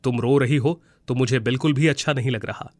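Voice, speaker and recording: A man voicing a kindly magical mango tree in a moral storybook tale, gentle and reassuring in how he speaks. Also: medium pitch, a little fast, dry recording, clean studio sound, lightly acted. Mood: consoling. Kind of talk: Hindi moral storybook voice acting.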